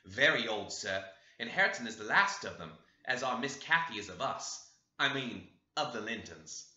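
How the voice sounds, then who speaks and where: A man's voice: medium pitch, animated, normal speed, sharp tone. A man voicing a talkative, homely woman housekeeper who tells family history with chatty emphasis in an audiobook. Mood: frank, gossipy. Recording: roomy, echoey, slightly dull sound.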